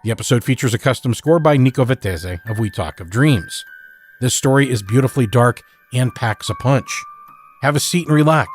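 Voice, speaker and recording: Podcast host guy reading his intro, earnest, music low behind. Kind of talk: scripted show introduction. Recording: soft music underneath.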